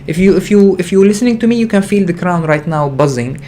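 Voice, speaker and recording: A man's voice: high voice, lively intonation, fast talker, dark tone. Earnest man hosting a spiritual livestream. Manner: earnest, repetitive, searching phrasing with frequent hesitations. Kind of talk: unscripted spiritual teaching talk.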